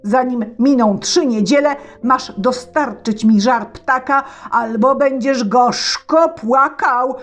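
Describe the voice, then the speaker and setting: A woman voicing a wrathful bearded old tsar, shouting and threatening in a raised voice. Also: a little high, voice pushed up.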